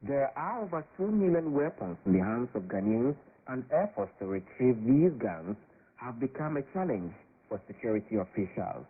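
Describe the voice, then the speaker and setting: A man reading a scripted news report in a measured, formal way. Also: medium pitch, very animated, a little hiss or hum. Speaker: radio reporter. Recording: tinny shortwave radio.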